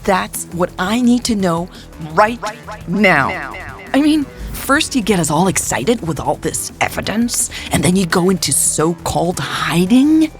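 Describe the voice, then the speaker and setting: Woman acting an indignant, gravelly online commentator. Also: fairly low pitch, very animated, measured pace, faint music behind, pitched above the performer's own voice. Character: indignant, accusatory online commentator demanding answers.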